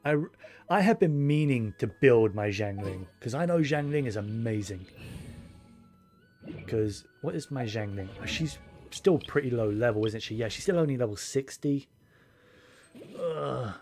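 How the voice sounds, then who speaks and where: Guy streamer thinking out loud, casual and rambly, game music in the back. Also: animated, measured pace. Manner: rambling, low-key, thinking aloud. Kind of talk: casual livestream chat over gameplay.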